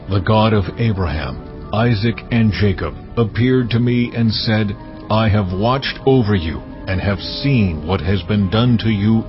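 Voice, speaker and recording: An actor playing God as a solemn, commanding divine voice, proclaiming slowly and with weight. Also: male voice, fairly low pitch. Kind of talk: dramatized scripture reading. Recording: muffled, over loud cinematic music.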